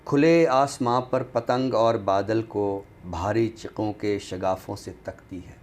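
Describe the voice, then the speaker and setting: A man reciting poetry on a literary show in a deliberate, expressive way, returning to refrains. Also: lowish voice. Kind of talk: dramatic Urdu poetry recitation, then book talk. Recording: clearly noisy background ambience.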